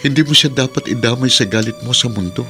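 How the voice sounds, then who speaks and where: A man's voice: medium pitch, fast talker, over music, close to an everyday voice. An actor playing an anguished, devoted lover and father in a radio drama, speaking earnestly and emotionally. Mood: reproachful, hurt. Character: anguished man in love, fiercely devoted new-found father. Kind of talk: Tagalog radio drama acting.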